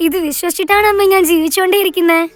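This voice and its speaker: A woman's voice: extremely high pitch, steady tone, rapid-fire, no echo, faint music behind. A woman voicing a poor orphaned village girl in a children's moral story, plaintive and earnest. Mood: pleading, sorrowful.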